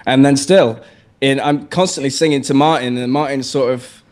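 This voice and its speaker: A man's voice: mid-range voice, moderate pace, a little mellow. A British man co-hosting a radio show with casual, jokey, self-mocking banter. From southern England. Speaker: radio co-host.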